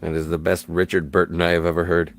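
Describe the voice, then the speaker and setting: A man's voice: low voice, completely flat delivery, fast talker. A man guesting on a casual podcast, teasing the host with dry sarcasm. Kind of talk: casual banter.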